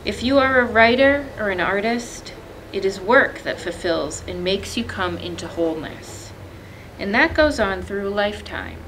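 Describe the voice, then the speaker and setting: A woman in her thirties reading literature aloud as a librarian, with measured, deliberate phrasing and pauses. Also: medium pitch, lively intonation, a bit bright, slight background noise, low-quality recording. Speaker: librarian presenting a poetry reading. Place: indoor library, seated among bookshelves.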